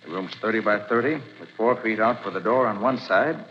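An actor playing a calm, confident private detective in a 1940s radio drama, brisk and assured. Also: male voice, lowish voice, close to an everyday voice. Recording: muffled old radio broadcast, faint hiss.

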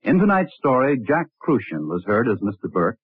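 A man reads scripted credits as a 1950s radio announcer. His mid-pitched voice is slightly husky. The sound is dry and thin, like an old broadcast. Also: very animated, normal speed, dry recording, narrow, tinny audio.

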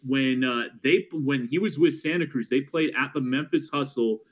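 A man who calls games for a living, a guest on a sports podcast, talking through numbers casually and correcting himself as he goes. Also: medium pitch. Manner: stat-heavy, quick self-corrections. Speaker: team broadcaster and podcast guest. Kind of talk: casual sports analysis chat.

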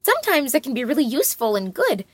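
Woman acting patient, frank friend in audio drama; earnest, gently firm, dry close sound. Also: high-pitched, huge pitch swings, fast, no echo.

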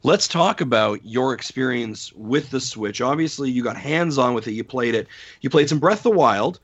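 A man's voice: medium pitch, expressive, breathy edge. A man hosting a podcast who is losing his voice and hesitates through his questions. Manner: drawn-out prompts, hesitant restarts. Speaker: podcast host. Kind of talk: casual podcast chat. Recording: dull lo-fi audio.

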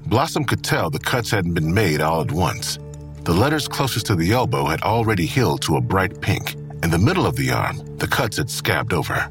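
A low-voiced male narrator for a grim crime audio drama. His reading moves expressively up and down over a music bed. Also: sing-song, moderate pace. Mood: somber narration.

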